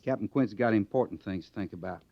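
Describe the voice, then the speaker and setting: An actor playing a stern, plain-spoken cavalry sergeant with folksy grammar in an old radio western. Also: male voice, on the low side, fast talker, vocal fry. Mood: stern, impatient. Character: gruff, no-nonsense cavalry sergeant, loyal and stern. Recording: muffled vintage radio recording.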